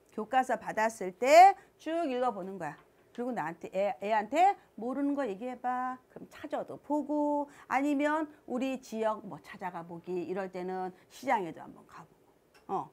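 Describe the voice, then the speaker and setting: A woman coaching parents speaks with a Seoul accent in a chatty, animated way. Her voice sits a little high and swoops up and down a lot. She often answers her own rhetorical questions. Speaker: education coach.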